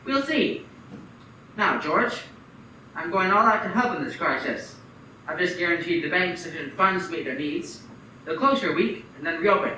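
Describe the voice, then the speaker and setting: A man playing a scheming, wealthy old banker who sounds smooth and falsely helpful with a menacing edge. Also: a little high, animated, normal speed, pushed and bright, a little hiss or hum. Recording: echoey room, far from the mic.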